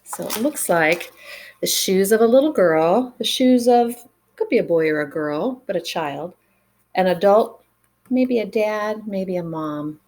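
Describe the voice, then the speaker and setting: A woman reading a picture book aloud to children in an expressive way, with dramatic pauses and chatty asides to the listeners. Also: mid-range voice. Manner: animated, dramatic pauses, engages young listeners with questions.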